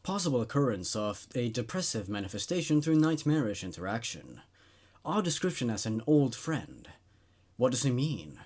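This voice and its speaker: A man plays a probing psychiatrist in a horror storybook. He is calm and clinical, with a husky edge to the voice. His pitch moves widely as he muses with secret obsession. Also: moderate pace. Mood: clinical musing.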